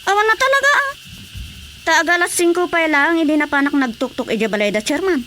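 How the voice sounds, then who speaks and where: A very high-voiced, excitable village woman with a Filipino accent, chatting and complaining in a radio comedy. She is gossipy and full of hesitant asides. Faint noise and insect sounds sit behind her. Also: brisk. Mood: chatty, complaining.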